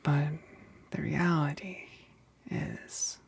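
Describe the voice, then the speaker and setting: A woman playing a hungry, seductive vampire best friend in an intimate ASMR roleplay, mostly whispering in a slow, teasing way, close to the mic. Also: low voice, wildly swooping pitch, gravelly, slightly rough, no echo, heavily acted. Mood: wistful, intimate. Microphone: close, dry microphone.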